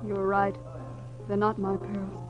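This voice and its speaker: A woman's voice: medium pitch, completely flat delivery, takes it slowly, close to an everyday voice. An actress playing a wistful, polite married lady in a 1940s radio drama, genteel and hesitant. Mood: wistful, resigned. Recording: dull vintage radio recording, music behind.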